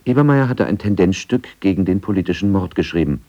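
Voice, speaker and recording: A man narrating a radio documentary from a script in a measured, factual manner. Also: sits low, expressive, mellow, lo-fi sound.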